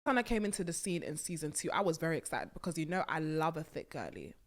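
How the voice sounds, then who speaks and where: A young woman hosting a podcast from a small studio set, chatting emphatically about a reality show into a boom mic, with bursts of laughter. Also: sing-song, fast. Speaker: podcast host. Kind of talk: casual chat reviewing a reality show. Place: small purple-lit studio set on a sofa. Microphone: studio mic on a boom arm.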